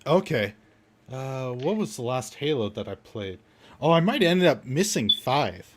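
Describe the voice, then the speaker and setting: A man in casual podcast chat who laughs often and reacts quickly. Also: medium pitch, big pitch swings, slight background noise.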